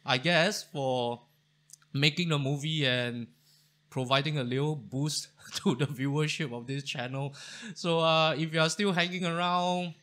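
A man. He speaks warmly and loosely, with frequent ums and restarts. He is recorded close up on a studio mic in a home study. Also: a little high, steady tone, a little slow. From Singapore. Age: 40s. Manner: chatty, thankful, many fillers and restarts. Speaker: history channel host. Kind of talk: casual talk to camera.

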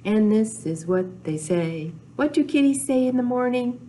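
A woman's voice: a little high, lively intonation, measured pace, dark tone, a little hiss or hum, low-quality recording. An older woman hosting a children's storytime, talking in a simple, repetitive, sing-song way to young children in a small room. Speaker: children's librarian, storytime host. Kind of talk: children's storytime with rhymes, counting and songs. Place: small indoor room with whiteboard.

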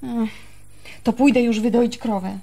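Stern, mellow-voiced young woman. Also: a little high, fairly even intonation, a little slow, creaky. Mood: cool, firm. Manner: firm, curt, cool. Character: proud, stern, domineering young village woman. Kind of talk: radio drama acting.

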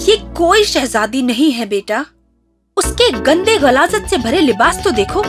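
High-pitched, exacting queen mother. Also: very animated. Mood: disapproving. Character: regal, exacting queen mother advising her son. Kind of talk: children's animated fairy tale voice acting. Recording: music under the voice.

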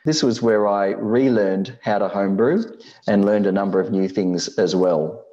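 A man in his sixties with an Australian accent explains things as a hobby presenter. His voice is low and mellow, with a measured delivery. The recording is slightly dull. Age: 60s. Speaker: amateur radio presenter. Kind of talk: scripted slide presentation narration.

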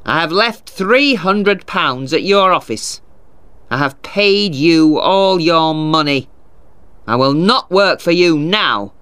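Man voicing a proud, slow-spoken ailing father. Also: fairly high pitch, pushed and bright, no echo. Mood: angry, defiant. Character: Mister Tulliver, proud hot-tempered father, ailing and weak. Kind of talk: audiobook character dialogue.

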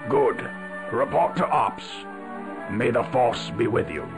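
A composed, commanding military officer gives a formal briefing in a video game. His mid-pitched voice has a gravelly edge, and he speaks deliberately over clearly audible music. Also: lively intonation. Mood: resolute. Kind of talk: scripted mission briefing, video game acting. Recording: music behind, 1990s game audio.

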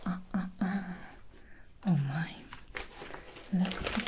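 A woman voicing a seductive female demon hairstylist in an ASMR roleplay, whispering softly close to the mic with slow, soothing reassurances. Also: on the low side, noisy background. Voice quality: soft whisper. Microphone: close sensitive ASMR mic.

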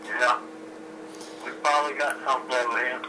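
A man's voice: mid-range voice, steady tone, fairly quick. A man working in a store, taking a customer's phone call in a curt, matter-of-fact way. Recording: distant noisy speakerphone line.

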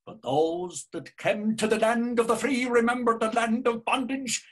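An actor with an Irish accent playing a fiery nationalist Dublin drinker who rants in a husky voice, read over a video call. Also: male voice, very high voice, steady tone, normal speed, fairly bright tone. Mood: ranting. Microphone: video call webcam.

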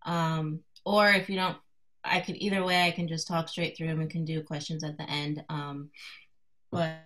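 A woman in her thirties from Colorado, giving an informal artist talk to a class over a video call, soft-spoken and hesitant, with many fillers. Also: low-pitched, flat delivery, moderate pace. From Colorado, USA. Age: 30s. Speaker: guest artist speaking to a class. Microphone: video call computer mic.

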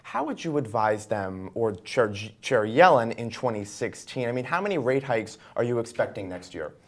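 A man's voice: mid-range voice, sing-song, moderate pace. A young American man working as a news host, interviewing guests in a broadcast financial style in a TV studio. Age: young adult. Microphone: lapel mic.

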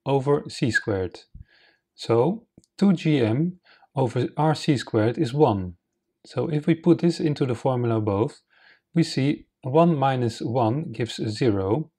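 Dutch-native guy walking through physics slides, slow and methodical, mellow voice. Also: mid-range voice, slightly rough. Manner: slow, methodical, explains step by step. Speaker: science channel host. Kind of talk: narrated slideshow explanation.

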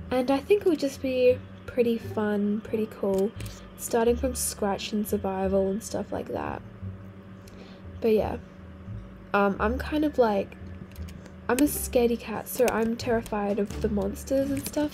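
Croaky teen girl playing a block game. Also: on the high side, warm tone. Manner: chatty and easily distracted, exclaiming at finds. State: croaky voice from a cold. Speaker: gaming video host. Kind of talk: casual game commentary. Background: faint game music.

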